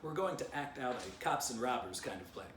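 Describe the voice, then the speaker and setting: Man acting pompous, bossy female dog, animated delivery. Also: mid-range voice, a little fast, echoey room, recorded from a distance, background noise, voice pushed up, close to an everyday voice. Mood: eager, bossy. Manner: pompous, self-important, theatrical.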